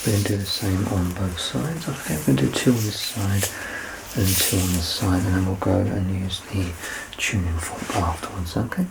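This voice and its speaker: A man in his thirties doing an ASMR medical exam roleplay as a doctor, soft-spoken, reassuring and explanatory. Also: deep voice, normal speed, gravelly, noisy background. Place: small curtained indoor room.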